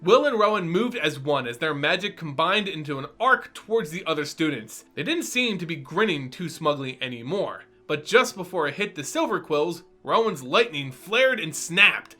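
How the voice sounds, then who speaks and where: A man giving an animated, expressive dramatic reading of a fantasy story as narrator. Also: a little high, moderate pace, hardly any pauses, faint music behind. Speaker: narrator and reader.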